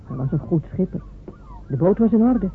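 A woman's voice: lowish voice, lively intonation, normal speed, natural voice. A woman playing a proud, loyal fisherman's wife who is waiting for her husband, speaking firmly in a radio play. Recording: narrow phone-like radio recording with faint hiss.

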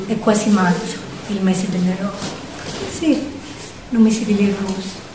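A woman's voice: mid-range voice, steady tone, slow pace, crowd noise. A woman with a Sicilian accent performing dramatic theatrical storytelling in verse, declamatory and lamenting. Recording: echoey room, distant from the mic.

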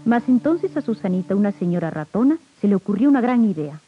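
A woman's voice: medium pitch, sing-song, fast. A woman narrating a scripted children's story on an old 1950s record. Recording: dull, lo-fi 1950s 78 rpm record. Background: music.

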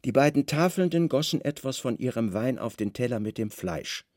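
A man with speech narrating a literary radio drama in a measured, precise storytelling manner. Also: medium pitch, expressive, darkish tone, dry recording, studio quality.

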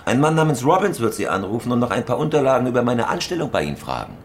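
A man's voice: medium pitch, sing-song, rapid-fire, slightly rough. A man playing a cool, hard-nosed professional robber in a German radio crime drama, terse and businesslike. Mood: calm, sly. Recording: loud music bed.

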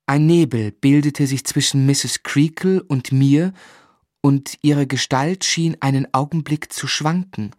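A man's voice: mid-range voice, a little mellow, no echo, clean studio sound, natural voice. A male actor as a reflective, melancholy narrator. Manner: measured, reflective. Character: melancholy man recalling his sorrowful boyhood. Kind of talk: scripted literary narration.

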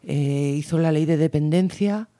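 Woman from Guadalajara, campaigner on a panel; mellow, darker tone, earnest and emphatic. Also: low-pitched, unhurried. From Guadalajara, Spain. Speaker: political campaigner. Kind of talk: radio panel discussion.

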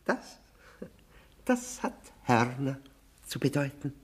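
A bitter widower from a 1960s German radio play, in a medium-pitched male voice. He draws out his words with oily politeness. His self-abasement keeps tipping into sly, taunting insinuation. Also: very animated, slightly rough, full character voice. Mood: bitterly sardonic. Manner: mock-courteous, insinuating, with drawn-out words and needling questions.

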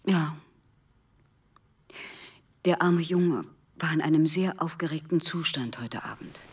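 No-nonsense protective wife, lowish husky voice, radio drama, a little off mic. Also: female voice, slow pace, distant mic, exaggerated voice. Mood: matter-of-fact. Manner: matter-of-fact, firm. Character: practical, protective wife and shopkeeper. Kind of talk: German radio play acting.

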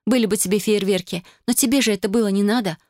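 A reader voicing a hurt, bitter young wife and mother in an audiobook, reproachful and sharp. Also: mid-range voice, steady tone, fast talker, dry recording, clean studio sound, voice pushed up, close to an everyday voice. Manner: reproachful, sharp, rising in anger. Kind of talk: audiobook character voice.

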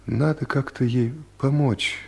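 A man's voice: mid-range voice, steady tone, a little slow, vocal fry, a little mellow, breathy edge. A man playing a suave, courteous office boss in a radio comedy drama, familiar with staff and delicately evasive. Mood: delicate, confiding. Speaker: actor in an audio play. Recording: 1970s studio radio play recording.

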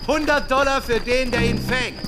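A man's voice: very high voice, low-quality recording. An actor playing a taunting young male bully in a radio drama. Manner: taunting, shouting. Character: jeering young male bully hunting down a victim. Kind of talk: German radio drama acting.

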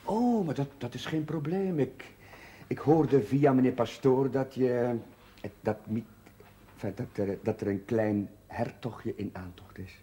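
An actor playing a polite, earnest chaplain who speaks haltingly and apologetically in a 1970s TV drama. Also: male voice, mid-range voice, warm tone, close to an everyday voice. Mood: awkward, embarrassed. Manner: halting, apologetic, repeats himself. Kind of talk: naturalistic 1970s television drama acting.

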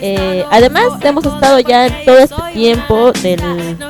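A woman's voice: fairly high pitch, lively intonation, unhurried. A woman hosting a casual live radio show, chatty and often checking for agreement with little tag questions. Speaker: radio host. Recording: music playing under the voice. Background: music.

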